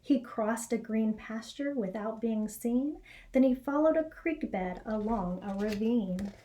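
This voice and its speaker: An American woman reads a children's picture book aloud. Her voice sits fairly high and she takes her time, telling the story expressively. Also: measured pace, warm tone, slight background noise, poor audio. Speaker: elementary school educator reading aloud. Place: small indoor classroom or office.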